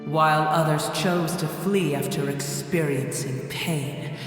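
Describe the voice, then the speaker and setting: Slow, echoing ancient dragon. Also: male voice, steady tone. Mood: grave, regretful. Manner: grave, solemn, haughty. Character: ancient, bitter, proud dragon, once consumed by hatred. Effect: cavernous reverb. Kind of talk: scripted video game voice acting. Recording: deep cavernous echo and reverb. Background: music.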